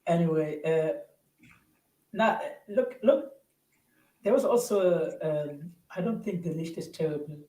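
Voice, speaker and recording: Male fan-show regular, high and soft, roomy echo. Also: distant mic. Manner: quick, joking interjections. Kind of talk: casual football fan chat. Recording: echoey room.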